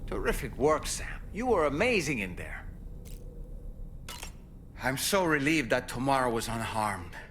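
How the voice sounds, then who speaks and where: A man playing an earnest, caring crew ally who speaks with expressive admiration in a video game cutscene. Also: mid-range voice, a little slow, long pauses, a little hiss or hum. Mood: relieved praise. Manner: warmly praising, expressive.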